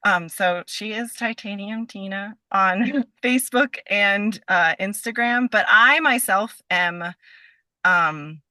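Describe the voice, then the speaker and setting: Bright-voiced woman, casual podcast guest. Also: mid-range voice, takes it slowly, dry recording. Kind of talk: casual chat.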